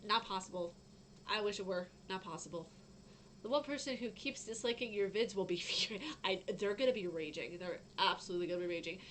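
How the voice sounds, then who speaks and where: A young woman with a fairly low voice, chatting casually on a gaming news stream and reading out news, rambling with lots of fillers. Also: a little fast, fairly bright tone, slight background noise. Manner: chatty, rambling, frequent fillers and self-interruptions. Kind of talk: casual live-stream chat.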